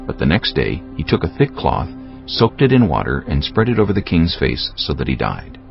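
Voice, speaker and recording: A man's voice: very deep voice, big pitch swings, moderate pace, creaky, highs cut off, faint music behind. A man giving scripted narration of scripture as an audiobook narrator.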